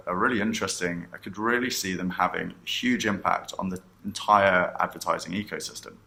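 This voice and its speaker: A man's voice: low voice, sharp tone. A man giving a rehearsed product announcement as a company co-founder, starting sentences with emphasis and letting the ends fall away.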